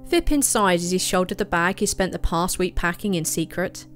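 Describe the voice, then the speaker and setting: A woman's voice: on the low side, animated, normal speed, faint music behind. A woman reading scripted third-person story narration.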